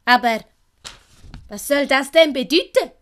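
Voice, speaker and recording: Woman doing an excitable, high-voiced baffled servant man. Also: unhurried. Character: excitable, easily baffled comic male servant. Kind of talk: German audiobook commedia dell'arte acting.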